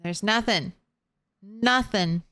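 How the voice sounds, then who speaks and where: A woman's voice: medium pitch, slow pace, sharp tone. A young adult woman streaming a game, chatting casually, thinking aloud and laughing often. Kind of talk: casual chat while gaming.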